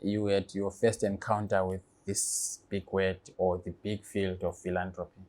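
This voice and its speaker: A podcast host interviewing a guest, with steady affirming backchannels and open questions. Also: female voice, rumbling low, unhurried. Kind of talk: interview conversation.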